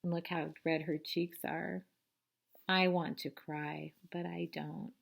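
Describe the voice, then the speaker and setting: A woman's voice: fairly low pitch, level tone, slow, creaky, breathy edge. A woman reading a picture book aloud to children, narrating expressively and turning to listeners with asides and questions.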